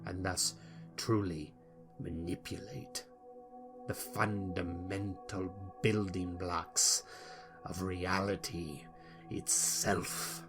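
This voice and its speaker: A man giving a slow, theatrical first-person reading of his character's backstory for a tabletop game. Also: sits low, steady tone. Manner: slow, theatrical, savoring each phrase. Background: background music.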